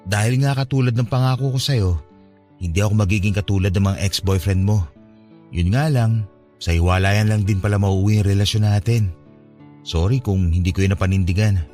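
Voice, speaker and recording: A man's voice: lowish voice, steady tone, brisk, a little mellow, faint music behind, lightly acted. A man acting an earnest, wounded but hopeful young ex-boyfriend in a Filipino radio drama. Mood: earnest, regretful.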